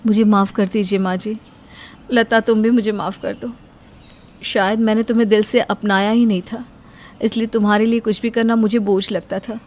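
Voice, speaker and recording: A woman plays a young Indian housewife, the jealous elder daughter-in-law of a cartoon moral tale. Her voice sits at a middle pitch. She sounds pointed and scheming, always justifying herself. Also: level tone, normal speed, close to an everyday voice. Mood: remorseful. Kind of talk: Hindi moral-story cartoon dialogue.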